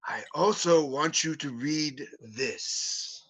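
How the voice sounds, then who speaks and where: A man's voice: a little high, slow, fairly bright tone, slightly rough, heavily acted. A man voicing a pompous male hospital psychiatrist in a livestream script reading, hesitating with fillers. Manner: hesitant, stumbling over fillers. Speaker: play reader. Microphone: home mic on a livestream.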